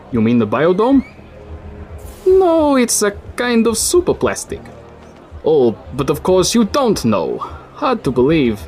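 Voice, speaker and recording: A man's voice: mid-range voice, a little mellow. An actor in his thirties playing a friendly, folksy local man, who reassures a stranger. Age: 30s. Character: friendly male city dweller, folksy and helpful. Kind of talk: audio drama acting.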